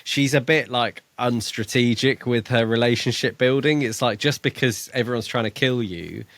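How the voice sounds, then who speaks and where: A man co-hosting a podcast, talking casually about a book in a measured way, often restarting as he finds his point. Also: mid-range voice, a bit bright, dry recording. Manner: measured, restarts as he gathers thoughts. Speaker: podcast co-host.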